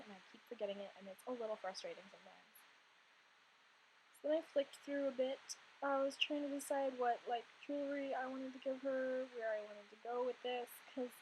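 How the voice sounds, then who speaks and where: A young woman in her twenties, slightly hoarse from losing her voice, chatting casually over gameplay in a rambling, filler-heavy way. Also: high-pitched, a little hiss or hum, rough recording. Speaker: Sims content creator.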